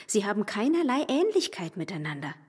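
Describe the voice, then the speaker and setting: An actress playing a reserved young woman in a radio play, speaking in a quiet, measured way. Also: medium pitch, big pitch swings, husky. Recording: radio play with long reverb.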